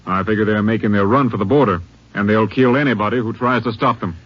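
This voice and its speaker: A man acting a businesslike, commanding Texas Ranger captain in an old-time radio western, brisk and authoritative. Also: lowish voice, fairly even intonation, close to an everyday voice. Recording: dull old radio recording.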